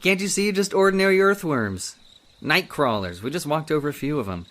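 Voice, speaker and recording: A man's voice: fairly high pitch, lively intonation, brisk, a bit bright. A man playing an irritable, scornful older husband haunted by memory, impatient and muttering, in old-time radio horror style. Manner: impatient, snapping, muttering broodingly.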